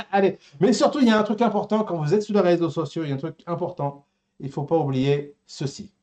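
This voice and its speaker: A man's voice: fairly high pitch, fairly quick, noisy background. A man co-hosting a casual live talk show, joking and teasing with wordplay.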